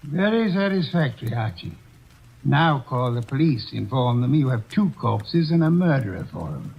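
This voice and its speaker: An actor playing a portly, unflappable older detective who speaks in a measured, pompous way, in 1940s radio acting. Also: male voice, medium pitch, sing-song, gravelly, slight background noise. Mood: smug. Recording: dull old radio broadcast recording.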